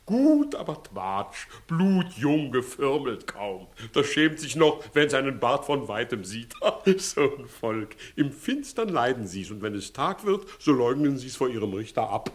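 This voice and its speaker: An actor playing a scheming village judge in a German radio play, blustering and wheedling with theatrical delivery. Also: male voice, medium pitch, big pitch swings, unhurried, few pauses. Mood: wheedling, sly. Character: blustering, scheming village judge hiding his own guilt. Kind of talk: 1960s German-language radio acting.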